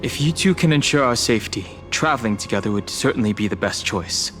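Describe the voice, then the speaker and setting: An actor playing a calm, reserved young traveler in a game cutscene, terse and composed. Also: male voice, medium pitch, brisk, soft background music, natural voice.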